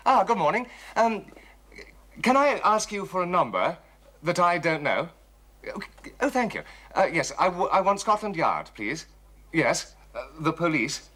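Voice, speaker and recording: An actor playing a suave, audacious gentleman thief with an English accent and a breezy, teasing, politely clipped manner in a period drama. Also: sits high, sing-song, unhurried, full character voice. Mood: polite, hesitant. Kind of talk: period television drama dialogue.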